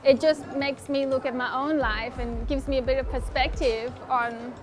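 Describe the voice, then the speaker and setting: A woman's voice: sits high, steady tone, moderate pace. A woman who works as a workplace buddy, speaking in a chatty interview testimony. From migrant to Australia. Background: music.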